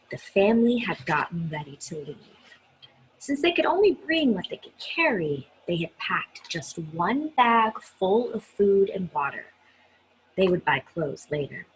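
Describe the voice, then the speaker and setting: A woman with a breathy voice reads a story aloud expressively, speaking directly to young listeners and adding her own asides. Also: mid-range voice, slight background noise, rough recording. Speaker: children's story reader.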